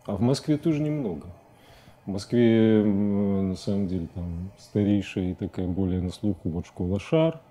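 A man in his forties giving halting, thoughtful answers as a guest in a studio interview. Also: fairly low pitch, mellow. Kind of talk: reflective studio interview talk. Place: indoor TV studio at a desk.

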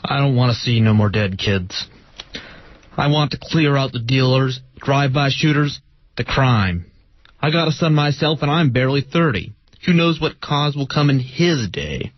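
A man's voice: mid-range voice, normal speed, dry recording, lightly acted. Earnest young rookie policeman, dull recording, acted by a man. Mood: earnest, weary. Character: earnest young male rookie policeman, barely thirty, a father. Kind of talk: readers theater monologue. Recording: dull, dry lo-fi radio recording.

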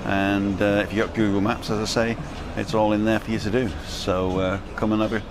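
Middle aged guy w low voice, rambly casual vlog chat outside, music in the back. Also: level tone, fairly quick, noisy background. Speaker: vlogger. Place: outdoors at a bus stop and a bar terrace.

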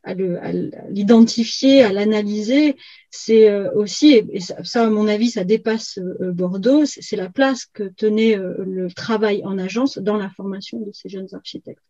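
Mellow, halting middle-aged woman. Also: mid-range voice, no room sound. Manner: halting, repeats words while searching. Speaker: historian and book co-author being interviewed. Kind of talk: academic discussion on a video call. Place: indoors on a video call, virtual background. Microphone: computer video call. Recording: slightly muffled video-call audio.

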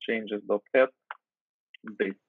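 A man's voice: lowish voice, steady tone, takes it slowly, no echo. A man whose first language is Ukrainian, in his thirties, walking through his code on a video call, thinking aloud with fillers and restarts. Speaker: guest software developer. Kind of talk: casual screen-share coding chat. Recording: tinny phone-like video call audio.

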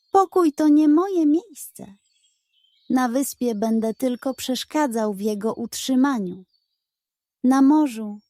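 A woman's voice: a little high, mellow, no echo. A woman voicing a tall, strong, hot-headed beast warrior who speaks solemnly in a children's bedtime story. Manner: serious, solemn.

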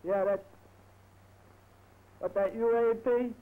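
A tinny, noisy old phone recording carries a young New York man's high voice. He is a soldier in his twenties, chatting with family back home in the 1950s. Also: steady tone, slow pace. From Brooklyn, New York. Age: 20s. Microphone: headset.

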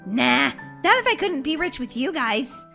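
A woman's voice: high-pitched, very animated, measured pace, creaky, sharp tone, soft background music, exaggerated voice. A woman voicing a sweet, earnest young girl who loves her family, in a game roleplay story.

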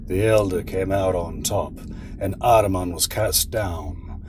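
A man playing a sinister villain in an audio drama, recounting dark history in a deliberate, theatrical way. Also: sits low. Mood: brooding, wry. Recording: clearly noisy background ambience.

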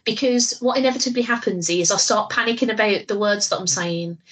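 A woman with a husky, stuffy-nosed voice from a cold chats on a podcast. She talks in a loose, giggly way and swears freely. Her voice sits at an ordinary pitch for a woman. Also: a little fast, low-quality recording. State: nasal from a cold. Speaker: podcast co-host. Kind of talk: casual chat.

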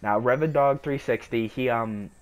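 A man's voice: medium pitch, normal speed. A young man with a sore, scratchy throat, rambling casually with lots of fillers while he plays a game. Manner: rambling, hesitant, filler-heavy. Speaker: gaming YouTuber. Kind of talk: casual gameplay commentary.

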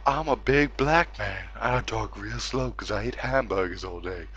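A man's voice: on the low side, measured pace, a bit bright, breathy edge, muffled recording. A man doing an exaggerated, profane, mocking read-aloud of game forum posts.

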